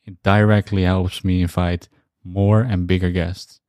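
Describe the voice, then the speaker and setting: A man's voice: low voice, flat delivery, measured pace, darkish tone, no room sound, studio quality. A man hosting a podcast, introducing and questioning his guest in a polite, appreciative manner. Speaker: podcast host.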